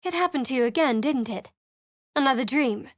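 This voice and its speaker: An actress voicing a guarded, sharp-tongued young female cleric in a video game, with a dry, clipped delivery. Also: high-pitched, animated, fairly quick, no echo. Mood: guarded, wry. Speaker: game character.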